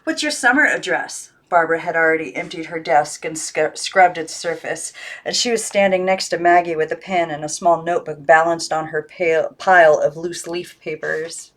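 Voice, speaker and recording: A woman's voice: low voice, level tone, moderate pace. A woman giving scripted narration of a storybook as an amateur audiobook reader.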